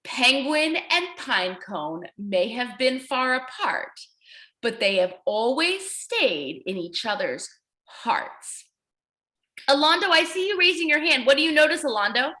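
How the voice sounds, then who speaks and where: A woman's voice: high-pitched, sing-song, measured pace, bright, forceful tone. A woman teaching young children in an interactive read-aloud, encouraging them with praise and questions and repeating their answers back.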